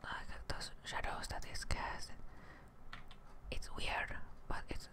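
Whispering woman doing ASMR gaming. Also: slow. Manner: casual, sweary, chatty. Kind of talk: ASMR whispered gameplay commentary. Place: indoors at a gaming desk. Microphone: close desk microphone.

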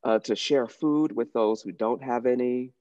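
A man's voice: medium pitch, unhurried, dark tone, muffled recording. A middle-aged man speaking conversationally to a congregation.

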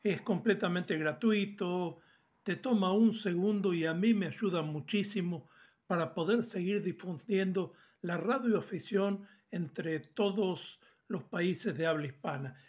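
A man's voice: sits high, measured pace, darkish tone. A man, giving an informal, didactic tutorial straight to the viewer as a channel host. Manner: didactic, addresses viewer directly. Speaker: amateur radio channel host. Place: small indoor room at home. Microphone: phone at arm's length.

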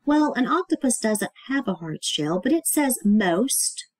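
Animated, slightly husky woman teaching young children. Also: on the high side, a little slow, no echo. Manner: explanatory, emphatic on key words, asks the listener questions. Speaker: teacher reading a children's book aloud. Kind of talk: read-aloud lesson for young children.

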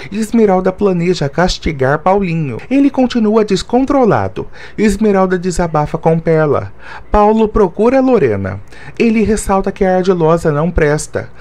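A man narrating a soap opera episode recap in short, evenly read summary sentences, as a voice-over. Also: high voice. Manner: flat, even reading of short summary sentences. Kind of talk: scripted plot summary narration.

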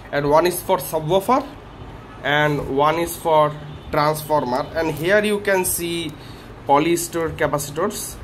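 A man hosting a hands-on tech teardown, speaking in short phrases with halting pauses. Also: on the high side, a little hiss or hum. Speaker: tech video host. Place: small indoor workshop room.

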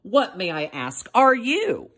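A woman voicing a huge, patient, amused elephant in a picture-book read-aloud, measured and formal. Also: mid-range voice, big pitch swings, a bit bright, voice pushed up, full character voice.

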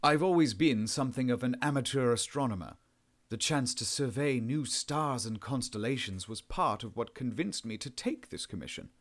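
A man's voice: mid-range voice, normal speed, lightly acted. A man voicing an amiable, pompous late middle-aged governor with a posh Southern English accent in an audiobook. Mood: pleased.

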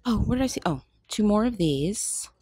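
A woman filming a shop-with-me vlog in a craft store aisle on a handheld phone, excited and gushing, often dropping to a whisper. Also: mid-range voice, moderate pace, husky, dry recording. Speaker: shopping vlogger.

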